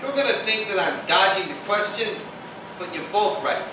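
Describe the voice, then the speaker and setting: A noisy, deeply reverberant live recording. An actor plays an easygoing bartender with a philosophical turn, speaking in stage radio drama style at some distance from the microphone. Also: animated, normal speed, close to an everyday voice. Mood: philosophical, reassuring. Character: easygoing, philosophical bartender dispensing love advice. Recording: very echoey, distant live recording, clear noise.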